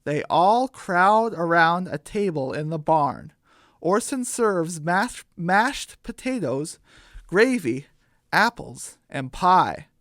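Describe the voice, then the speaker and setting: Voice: mid-range voice, very animated, takes it slowly, fairly bright tone, no echo, studio quality. A storyteller narrating a scripted children's radio drama.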